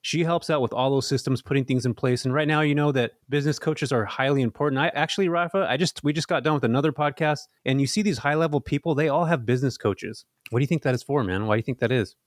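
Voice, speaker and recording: A man's voice: medium pitch, fast talker, few pauses, no echo, clean studio sound. A man co-hosting a podcast, chatting casually and hyping up the guest with enthusiasm. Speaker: podcast co-host.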